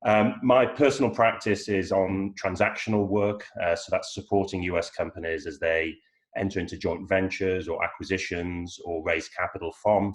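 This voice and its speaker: Very deep-voiced Englishman. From York, England. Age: middle-aged. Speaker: panelist, lawyer. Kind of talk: professional self-introduction on a panel. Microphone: video call.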